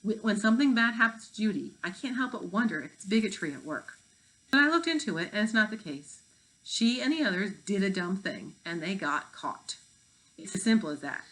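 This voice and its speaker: A woman's voice: medium pitch, lively intonation, a little fast, fairly bright tone, lightly acted, low-quality recording. A woman reading the part of a firm, matter-of-fact mother of a schoolgirl.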